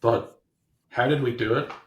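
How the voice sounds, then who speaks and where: A man being interviewed as a technology executive, explaining things casually in halting fragments as he thinks aloud. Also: medium pitch, steady tone, dull audio. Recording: roomy, echoing room sound.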